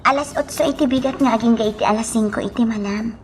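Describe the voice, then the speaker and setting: A woman's voice: a little high, quick pace, faint music behind, close to an everyday voice. A woman playing a humble young job seeker and devoted daughter in a radio drama, with an Ilocano accent. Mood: grateful, affectionate.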